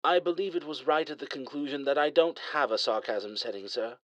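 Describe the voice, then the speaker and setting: A man's voice: mid-range voice, a little fast, a bit bright, no echo, dull audio, voice pushed up, lightly acted. A man voicing a prim, dryly sarcastic male ship AI that speaks with a light English accent. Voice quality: smooth, polite, dry.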